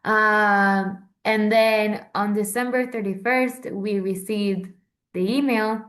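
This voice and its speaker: A woman's voice: medium pitch, fairly even intonation, takes it slowly, a bit bright. Chatty young woman. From Central America. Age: 20s. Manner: chatty, with many fillers and restarts. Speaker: Vatican correspondent. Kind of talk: casual informal talk.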